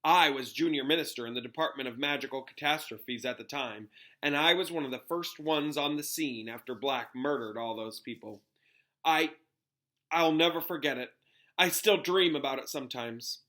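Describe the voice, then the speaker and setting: A man voicing a pompous, grave middle-aged government minister in a storybook, recounting things in a halting, dramatic way. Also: a little high, sharp tone. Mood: haunted.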